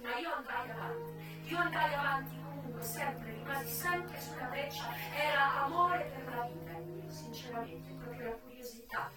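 An actress playing a world-weary, defiant retired brothel madam, reminiscing in a reflective, emphatic theatrical monologue with deliberate pauses. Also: female voice, fairly high pitch, close to an everyday voice. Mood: weary, resigned, then resolute. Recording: very echoey, distant stage sound with music.

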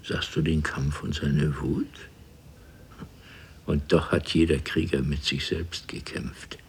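An actor playing a solemn, mystical time traveller with a husky, gravelly voice and a grandiose, incantatory delivery in a radio play. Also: male voice, extremely low pitch, steady tone, slow pace, slight background noise, heavily acted. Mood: ominous, proud. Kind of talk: theatrical radio play acting.

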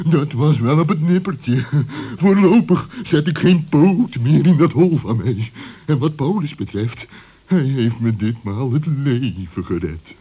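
A man's voice: medium pitch, expressive, takes it slowly, pauses often, mellow, husky, highs cut off. A man voicing a cowardly, easily frightened fox in a children's radio play, plaintive and dramatic. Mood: relieved, awed. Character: cowardly male fox, low-voiced, easily frightened, grudgingly grateful.

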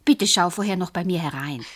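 An actress playing a nervous, lovestruck middle-aged widow in a radio drama, with a breathy, husky voice. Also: female voice, on the low side, animated, normal speed. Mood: eager, nervous. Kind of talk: naturalistic radio drama acting.

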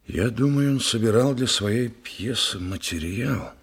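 An actor playing a thoughtful investigator in a radio play, measured and reflective, with a gravelly, husky voice. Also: male voice, lowish voice, lively intonation, exaggerated voice. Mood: pondering. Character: thoughtful investigator pondering a theatre murder. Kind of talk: dramatic radio play dialogue.

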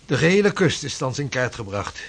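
An actor playing an earnest 16th-century navigator and mapmaker who talks in a measured, formal way. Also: male voice, medium pitch, sing-song, vocal fry, a bit bright, slightly rough, full character voice. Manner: measured, formal, old-fashioned speech. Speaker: seafaring cartographer. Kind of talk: radio drama acting.

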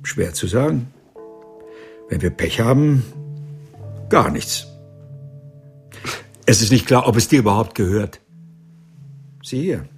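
A man's voice: mid-range voice, expressive, slow, pauses often, faint music behind. An older actor playing an upright, authoritative senior lawyer in his seventies in a radio drama. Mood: sober, insistent. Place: studio.

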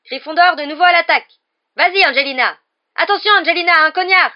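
A woman voicing an excited, partisan schoolboy shouting cheeky live sports commentary in an audiobook. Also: very high-pitched, fast, muffled recording, pitched above the performer's own voice. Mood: thrilled, urging. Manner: exclamatory, shouting, openly biased.

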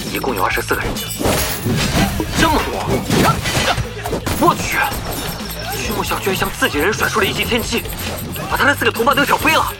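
Casting: man as excitable young male gamer, high-pitched and lively, easily exasperated, radio drama with music underneath. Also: close to an everyday voice. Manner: exclamatory, animated. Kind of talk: Chinese radio drama acting.

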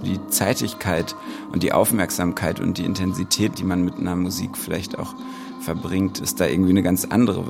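Male interviewee, thoughtful and unscripted, very low pitch with plenty of fry. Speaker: interviewee, musician. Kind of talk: reflective interview talk. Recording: music under the voice. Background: music.

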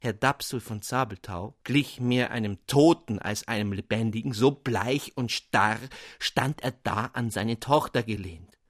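A man giving an expressive, scripted reading of a literary tale as narrator, with precise storytelling phrasing. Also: fairly low pitch, measured pace, dry recording, clean studio sound. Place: dry studio.

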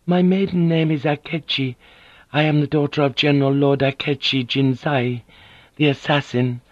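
A man putting on a gentle formal noblewoman. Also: on the high side, fairly even intonation, normal speed, no room sound, lo-fi sound, voice pushed up, close to an everyday voice. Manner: soft, formal, careful speech.

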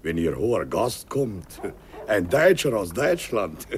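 A stout, easygoing country station master in a 1950s radio play. His deep voice moves about in a lively way, over faint recording noise. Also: measured pace. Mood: easygoing, talkative. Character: small fat easygoing Hungarian provincial station master.

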